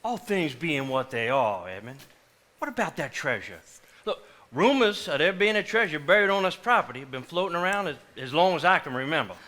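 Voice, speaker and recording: A stage actor playing a defensive, money-minded brother-in-law, insistent and indignant. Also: male voice, fairly high pitch, very animated, fairly quick, bright, forceful tone. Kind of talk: live stage play acting. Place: theater stage before a live audience.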